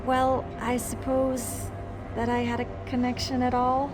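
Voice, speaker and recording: A woman's voice: fairly high pitch, monotone, unhurried, over music, lightly acted. A woman voicing an eager, boastful young female mage tinkerer in a video game, dramatic and nervously evasive. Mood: sheepish, confessing. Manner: dramatic, self-congratulating, hesitant when cornered. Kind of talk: video game character dialogue.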